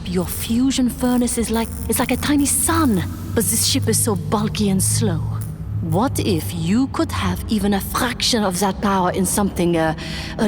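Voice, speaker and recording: A woman playing a theatrical, flattering scientist in an audio drama, persuasive and given to grand, poetic flourishes. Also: mid-range voice, close to an everyday voice. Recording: long reverb, music behind. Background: music.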